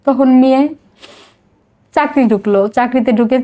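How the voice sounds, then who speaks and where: A woman's voice: high-pitched, normal speed, mellow. A middle-aged Bangladeshi woman telling her life story in a confessional interview, emotional and outpouring, often repeating herself.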